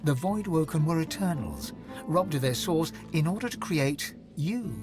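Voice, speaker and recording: Casting: dramatic fantasy narrator, solemn, measured, expressive range, faint music beneath. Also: male voice, fairly high pitch. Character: solemn male storyteller narrator of a fantasy game.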